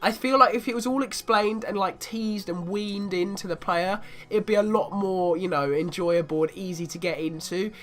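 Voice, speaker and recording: A man's voice: sits high, steady tone, normal speed, hardly any pauses, a bit bright, soft background music. A man with a bad cold giving a casual spoken review of a video game, chatty and fond of repeating "really, really".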